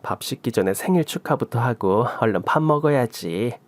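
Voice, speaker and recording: An elderly mother-in-law from a radio story , acted out by a man, doting and bossy. The talk is colloquial and fondly scolding, with very lively pitch swings in a dry recording. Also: moderate pace, dry recording, lightly acted. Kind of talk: Korean radio story acting.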